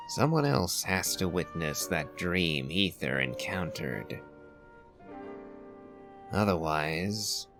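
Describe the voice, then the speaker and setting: A man with a very low voice full of creak comments on a video game. He speaks very slowly and deliberately, musing as he analyses, with game music playing behind him. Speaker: game streamer. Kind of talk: reflective gameplay commentary.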